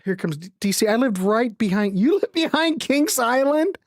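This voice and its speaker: Casual, animated woman hosting music chat. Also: on the high side, normal speed, dry recording, studio quality. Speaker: music show host.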